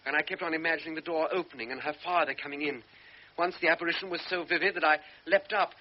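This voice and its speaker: Actor as remorseful young lieutenant, inner monologue, old radio drama. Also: male voice, on the high side, fairly even intonation, fast, lightly acted. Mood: brooding. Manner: inward, brooding first-person monologue. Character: guilt-ridden young lieutenant recalling his shame. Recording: dull old radio broadcast, faint hiss.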